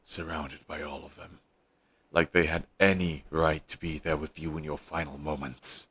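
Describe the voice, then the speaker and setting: A bitter young villain, fiercely devoted and raw-edged, swearing as he talks, voiced by a man. His voice sits extremely low, with a husky grain and a forceful, tense edge. It is an intimate audio-roleplay monologue. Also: little pitch movement, moderate pace, full character voice. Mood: grieving. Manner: intense, raw, swears often.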